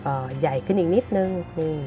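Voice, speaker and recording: A woman's voice: deep voice, fairly quick. A woman giving a casual step-by-step craft tutorial, talking to viewers informally like a big sister. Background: background music.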